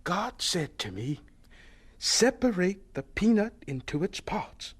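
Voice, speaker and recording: An actor playing a gentle, devout older professor in a radio drama, with a thin, breathy voice and a stammering, reverent manner. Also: male voice, high-pitched, big pitch swings, full character voice. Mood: excited, reverent. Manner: halting, stammering, slow and reverent.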